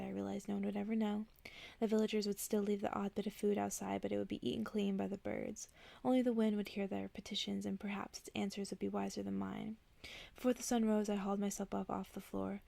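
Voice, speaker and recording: Hushed, very creaky woman reading a bedtime story. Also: medium pitch, dry recording. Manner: soft-spoken, hushed, drifting into whispers. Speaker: ASMR reader.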